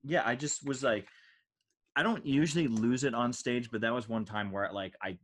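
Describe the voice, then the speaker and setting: A man's voice: mid-range voice, normal speed, a bit bright. An American man, a stand-up comedian, telling anecdotes casually in a rambling, self-deprecating way. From United States.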